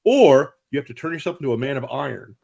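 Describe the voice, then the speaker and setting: A man's voice: mid-range voice, expressive, quick pace, vocal fry, a little mellow. Wry man holding forth emphatically. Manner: wry, emphatic and self-mocking. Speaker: guest author. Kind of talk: casual livestream conversation.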